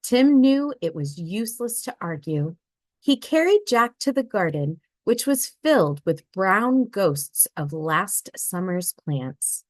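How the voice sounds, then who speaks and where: A woman reading a children's picture book aloud in an expressive storybook manner. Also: medium pitch, slow, dry recording. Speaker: read-aloud narrator.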